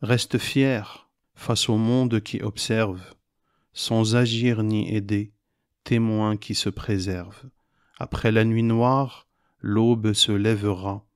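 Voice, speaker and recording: A man recites poetry at a very slow pace in a fairly low voice. His delivery is grave and measured, with pauses that set each short phrase apart. The sound is very dry, with next to no room in it. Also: steady tone, clear, resonant tone, no echo. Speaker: poet reciting own poem.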